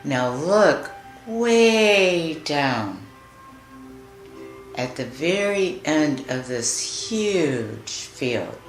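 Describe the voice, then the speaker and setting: A woman leading a guided meditation in a soothing, deliberate manner, leaving long pauses between phrases. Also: deep voice, big pitch swings. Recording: roomy echo with music behind. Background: music.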